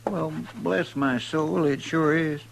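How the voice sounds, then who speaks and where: Folksy western deputy, rural american twang, highish voice, respectful, slightly noisy old radio. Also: male voice, fairly even intonation, slow pace, vocal fry. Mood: puzzled, earnest. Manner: folksy, respectful, plain-spoken. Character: folksy, earnest, deferential deputy sidekick to a lawman. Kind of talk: 1950s radio western acting.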